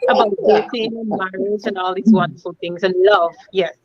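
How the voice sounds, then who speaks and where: A woman's voice: medium pitch, moderate pace, slightly rough, dry recording, lo-fi sound. A woman from Guyana, friendly and a little hesitant as a panelist. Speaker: panelist, teacher.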